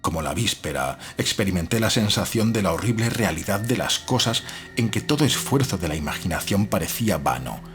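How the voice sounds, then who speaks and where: A man's voice: sits low, lively intonation, brisk, fairly bright tone. A man reading gothic fiction as an audiobook narrator, in a scripted, dramatic style. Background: faint music.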